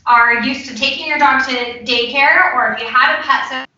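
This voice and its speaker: A woman's voice: high voice, moderate pace, pushed and bright. A woman moderating a casual online panel, summing up with hesitant phrasing, recorded echoey, dull and distant over a call.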